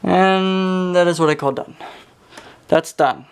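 Slow-paced young man muttering over repairs. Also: high-pitched, vocal fry, clean tone. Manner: muttering to himself, exclaiming, casual swearing. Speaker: hobbyist electronics repairer. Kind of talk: casual hands-on repair commentary. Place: indoors at a workbench.

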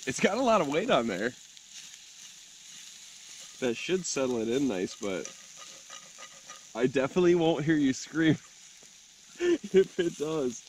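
A man's voice: a little high, measured pace, long pauses. Chill young guy vlogging by a campfire in the snow, easygoing chatty voice, some faint background noise. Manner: relaxed, chatty, talks to his dog. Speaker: outdoor vlogger. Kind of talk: casual camp vlog narration. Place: outdoors at a campfire in snow at night, then inside a snow shelter.

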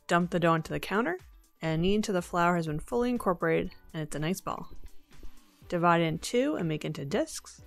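A young woman hosting a home baking video, narrating a recipe step by step with playful asides. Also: on the low side. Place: home kitchen.

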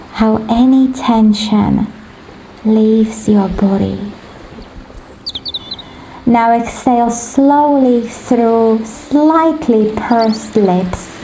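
A woman leading a guided sleep meditation in a gentle, soothing, instructive manner, as a meditation guide. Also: fairly high pitch, slight background noise, poor audio. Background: ocean waves.

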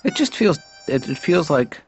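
An actor playing a mild, passive-aggressive middle-aged father in a cartoon, speaking in a halting, deadpan way. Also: on the high side, faint music behind. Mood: mild, wistful. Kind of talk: improvised-sounding conversational cartoon acting. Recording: muffled lo-fi audio with background noise.

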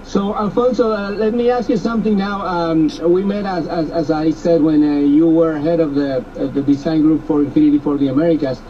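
Rambling male radio host, slightly high. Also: steady tone, a little fast, hardly any pauses, a little hiss or hum. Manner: rambling, repeats words. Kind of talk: radio interview questions. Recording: distant, dull radio audio.

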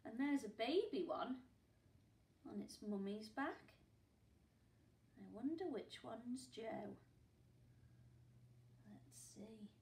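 A woman in her forties, a primary school teacher reading a picture book aloud at home, with gasps and hushed asides for young listeners. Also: fairly high pitch, slow, long pauses, roomy sound, slight background noise. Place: living room at home, indoors. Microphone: camera a few feet away.

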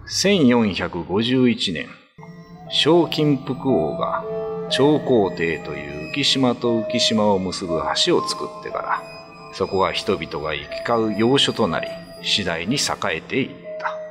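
A man's voice: lowish voice, very animated, slow, over music. A man narrating a ghost story in a dramatic, scripted radio drama style.

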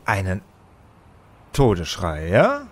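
An actor playing a nervous, evasive young radio playwright who stammers and hesitates, in a German audio drama. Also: male voice, deep voice, wildly swooping pitch, slow pace, exaggerated voice. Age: young adult.

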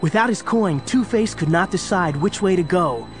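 Narrator of a superhero adventure. His voice sits a little high, and he tells the action dramatically over a clearly audible musical score. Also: lively intonation, normal speed, fairly bright tone, faint music behind. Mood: dramatic.

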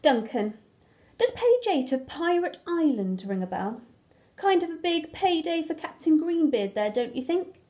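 Lady reading as a fed up gold crayon from a picture book, sarcastic, high voice going up and down. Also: big pitch swings, a little slow, warm tone. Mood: exasperated. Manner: exasperated, sarcastic rhetorical questions. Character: indignant, blunted gold crayon scolding its owner.

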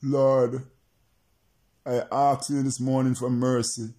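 A man's voice: medium pitch, little pitch movement, slow, warm tone. A male preacher praying and preaching to remote listeners, repeating his phrases in an insistent, chant-like cadence.

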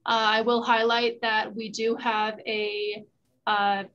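A woman with a fairly high voice speaks from a high-rise office on a video call. She explains housing rules in a measured, patient way, pausing often on filler uhs. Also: little pitch movement, fairly bright tone, lo-fi sound. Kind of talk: answering questions in a virtual town hall.

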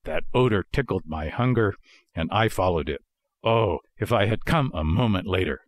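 A man voicing a good-natured, grateful big dog in a storybook, gentle and measured. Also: lowish voice, expressive, no room sound. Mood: friendly. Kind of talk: storybook character voice in audiobook narration.

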